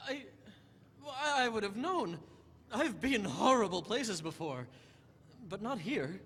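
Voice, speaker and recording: A man's voice: high-pitched, very animated, unhurried, a bit bright, breathy edge, full character voice. A man playing a tormented, nightmare-plagued horror writer in a staged drama, formal and melodramatic in his anguish. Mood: troubled. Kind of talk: audio-drama style stage acting. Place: large convention hall stage. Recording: echoey hall stage recording with noise.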